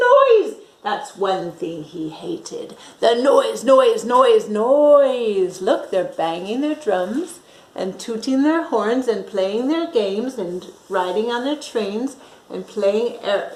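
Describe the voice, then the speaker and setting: A woman reading a picture book aloud to children, expressively drawing out words and engaging her young listeners. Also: fairly high pitch. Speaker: children's storyteller. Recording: roomy echo.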